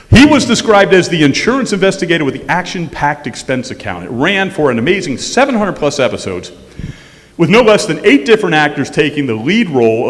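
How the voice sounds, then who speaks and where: A man hosting a live stage show, introducing it informally to an audience. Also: medium pitch. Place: hall of a museum courthouse, on stage. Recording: echoey hall, distant stage sound.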